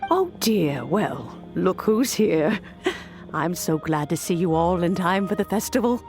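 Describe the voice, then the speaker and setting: A woman voicing an elderly grandmotherly character in a game, with a gravelly aged voice and a gentle, teasing manner. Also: on the low side, sing-song, measured pace. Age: older. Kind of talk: game voice acting. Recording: studio voice with faint background music.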